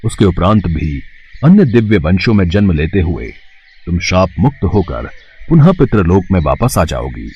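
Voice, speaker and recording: A man acts out a divine ancestral father spirit for a devotional cartoon story. The voice is low and husky, with big swings in pitch. He makes formal, solemn pronouncements, stern yet benevolent. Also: very animated, normal speed, a little mellow, lo-fi sound, full character voice. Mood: stern, pronouncing.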